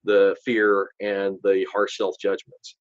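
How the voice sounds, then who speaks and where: A man from Texas, a coach and podcast guest, speaking with a warm, genuine, measured delivery. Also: lowish voice, completely flat delivery, muffled recording. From from the Rio Grande Valley, Texas. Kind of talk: interview conversation.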